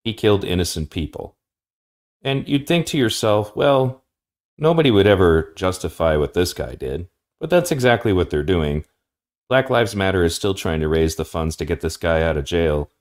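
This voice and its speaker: Deep-voiced man giving firm commentary. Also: animated, normal speed. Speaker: podcast commentator. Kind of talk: scripted political commentary.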